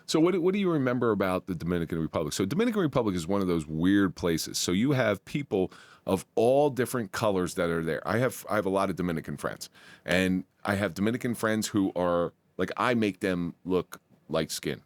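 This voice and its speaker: A man's voice: deep voice, lively intonation, fairly quick, no room sound. A man hosting a podcast, chatty and opinionated, making jokey asides as he asks questions. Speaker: podcast host. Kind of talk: casual interview.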